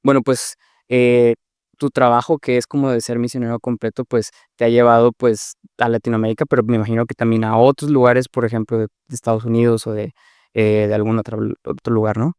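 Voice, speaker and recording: Smooth-voiced man host, hesitant questions. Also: medium pitch, little pitch movement, a little fast, darkish tone, no echo, clean studio sound. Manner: hesitant, many fillers like "pues" and "eh". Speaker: podcast host. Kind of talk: casual interview questions.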